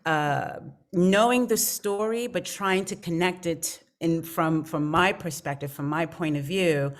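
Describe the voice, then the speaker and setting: Low-voiced woman, earnest panel guest. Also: unhurried. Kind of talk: conversational panel answer.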